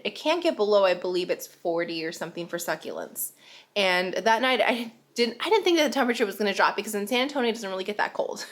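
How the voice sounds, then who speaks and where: Woman, young adult, casual vlog chat, nasal from congestion, rambling, mid pitch. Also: a bit bright. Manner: chatty, rambling, laughs often. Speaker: vlogger, stay-at-home mom.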